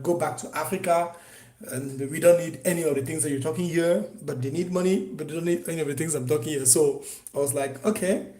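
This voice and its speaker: A man from Cameroon teaching an online business course, talking in an animated way with many restarts and fillers. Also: fairly high pitch, echoey room. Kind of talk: unscripted course presentation.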